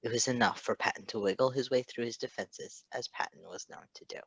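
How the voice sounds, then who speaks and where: A man's voice: mid-range voice, a little fast, vocal fry, husky, muffled recording. A man reading fan fiction aloud as a narrator, with casual asides.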